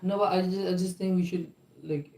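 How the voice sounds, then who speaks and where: A man's voice: sits high, steady tone, a little fast, vocal fry, a little mellow. A man co-hosting a livestream, earnestly reasoning aloud and checking in with "right?" and "why?". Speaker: vlog co-host on a livestream. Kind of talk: casual livestream chat. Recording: roomy room sound.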